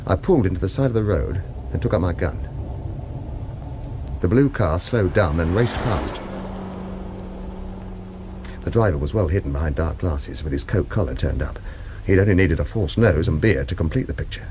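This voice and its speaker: A man's voice: low-pitched, lively intonation, normal speed, long pauses, mellow. An actor playing a wry hard-boiled hired gunman, narrating his own radio thriller in clipped phrases. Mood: wry, watchful. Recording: noisy car sound effects behind.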